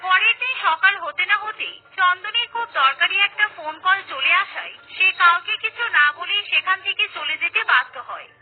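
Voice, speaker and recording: A woman giving scripted storybook narration for a children's cartoon in a steady, even storytelling manner. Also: very high-pitched, normal speed, hardly any pauses, faint music behind. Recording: tinny phone-like sound.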